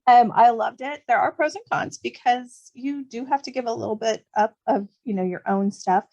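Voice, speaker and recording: A woman's voice: on the high side, moderate pace, darkish tone. A woman speaking casually as a guest author in an interview.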